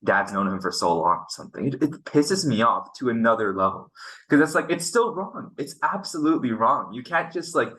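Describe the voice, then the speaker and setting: A man's voice: mid-range voice, big pitch swings, a little fast, fairly bright tone, poor audio. A young man from Pakistan hosting a casual podcast chat, earnest and frustrated, often restarting his sentences. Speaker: podcast host. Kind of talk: casual conversation.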